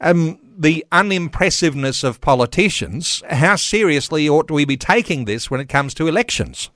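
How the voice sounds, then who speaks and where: A man hosting a talkback radio show, speaking in a measured way as he steers the conversation. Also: a little high, animated, a bit bright, no echo, clean studio sound.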